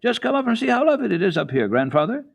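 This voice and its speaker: A man's voice: very high-pitched, big pitch swings, very fast, vocal fry, voice pushed up, exaggerated voice. A man voicing an eager, carefree little mountain girl in a radio storybook reading. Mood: delighted. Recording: dull lo-fi old radio recording.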